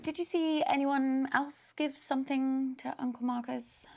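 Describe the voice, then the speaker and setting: High-voiced, insistent young woman detective. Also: fairly even intonation, a little slow, creaky, mellow. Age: young adult. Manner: urgent, probing. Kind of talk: acted film dialogue.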